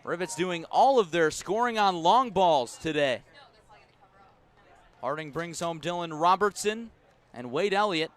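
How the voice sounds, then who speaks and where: Higher-voiced man calling baseball play-by-play. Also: a little slow, long pauses. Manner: descriptive, storytelling between pitches, rising to excited calls on big plays. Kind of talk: live radio baseball commentary.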